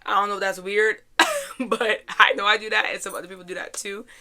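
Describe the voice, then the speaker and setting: A young woman hosting a casual, chatty life-update podcast in an informal, slangy way, her voice a little raspy and hoarse. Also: mid-range voice, a little fast, pushed and bright. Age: young adult. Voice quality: slightly raspy, worn voice. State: hoarse after a weekend out. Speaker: podcast host.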